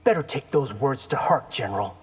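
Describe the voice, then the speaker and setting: An actor playing a brash, defiant young ninja in a video game, cocky and sardonic. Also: male voice, medium pitch, animated, a little fast, husky.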